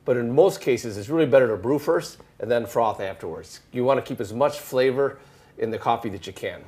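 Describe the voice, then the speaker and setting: Fast-talking man explaining coffee tips. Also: mid-range voice, darkish tone. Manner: quick, practical, explains how-to steps. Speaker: co-host and coffee expert. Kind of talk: casual explaining on a live stream.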